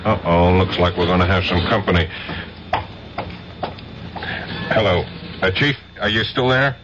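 Man acting a low-voiced tough American detective, muffled noisy recording. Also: brisk, natural voice. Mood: tough, taunting. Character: tough, wisecracking American federal detective, dogged and wary. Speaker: radio drama actor. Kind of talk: 1970s radio drama acting. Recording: muffled, hissy 1970s radio recording.

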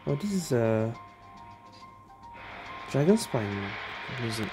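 A woman's voice: very deep voice, big pitch swings, slow. A woman giving casual gameplay commentary, musing aloud in hesitant phrases. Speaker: gaming streamer. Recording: game music behind commentary.

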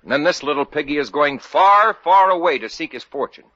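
A stubborn, restless young fellow in an old radio comedy. His bright voice swings widely in pitch, and the recording is muffled. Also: expressive, a little fast, no room sound. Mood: determined. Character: restless, stubborn young boyfriend, hapless store salesman. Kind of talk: 1940s radio comedy acting.

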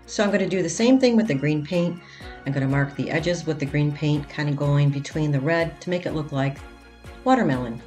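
A woman's voice: low voice, fairly quick, darkish tone, faint music behind. A woman hosting a craft channel, talking casually step by step through a project in a voice-over, with the odd filler. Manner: plain step-by-step explaining with fillers.

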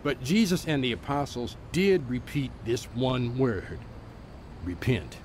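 An actor playing a gentle, earnest evangelist mentor in an audio drama, plainspoken and patient, with measured, fatherly delivery. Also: mid-range voice, expressive, slight background noise, close to an everyday voice. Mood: earnest teaching.

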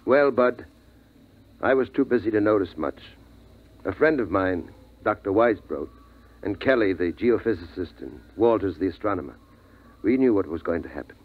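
A man's voice: sits low, expressive, moderate pace, husky. A man playing a grave, weary middle-aged father, a survivor telling his story solemnly in a 1950s radio drama. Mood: grave, reflective. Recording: thin, phone-like vintage radio recording.

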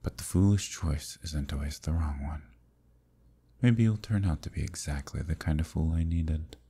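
Man voicing a very deep, husky eldritch villain. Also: warm tone, exaggerated voice. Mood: tempted, sly. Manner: slow, intimate, teasing. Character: ancient imprisoned male eldritch villain, seductive, scheming, lonely. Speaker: voice actor. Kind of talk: ASMR roleplay.

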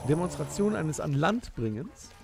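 Guy podcast host, kinda high voice, lots of fry, explains stuff in detail. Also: very animated, normal speed, loud music behind the voice. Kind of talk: casual banter in a podcast radio-play special.

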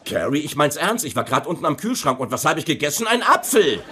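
A man's voice: a little high, very animated, quick pace, noisy background. A man playing an easygoing, plaintive working-class husband in his forties in a dubbed sitcom. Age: middle-aged. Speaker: actor in a sitcom dub. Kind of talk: German-dubbed sitcom acting.

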